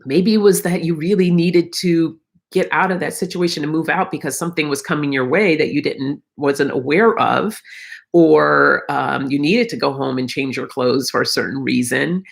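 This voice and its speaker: A middle-aged woman hosts an informal spiritual card reading online. Her voice is gravelly and a little froggy, with a lot of fry and a tired edge. She talks to her viewers in a reflective, encouraging way. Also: sits low, moderate pace. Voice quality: slightly froggy, croaky. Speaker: host of a live oracle card reading. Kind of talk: casual spiritual livestream chat with viewers.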